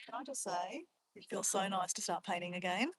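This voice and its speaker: A woman's voice: medium pitch, animated, fairly quick. An Australian woman with an Australian accent chatting in a casual, rambling, informal way as she hosts a livestream. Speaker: livestream host. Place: outdoors in nature near water. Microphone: phone or camera on a tripod. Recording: noisy outdoor livestream, clear background noise.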